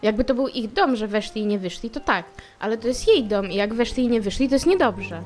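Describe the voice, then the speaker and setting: High-voiced woman playing a tabletop game. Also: animated, brisk, faint music behind. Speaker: player. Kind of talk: casual in-character tabletop roleplay.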